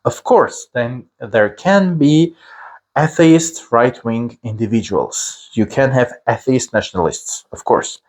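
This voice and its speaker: Slow-speaking Armenian-accented man teaching. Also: medium pitch, animated, darkish tone. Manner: slow and deliberate, with many filler pauses. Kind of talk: explanatory lesson to camera.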